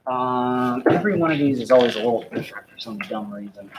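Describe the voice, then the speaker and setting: A man's voice: mid-range voice, fast. A young man from South Carolina, casually explaining a computer build as he works in a hot studio. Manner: relaxed, chatty, explains as he works. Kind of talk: casual hands-on demo chat. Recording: noisy studio recording.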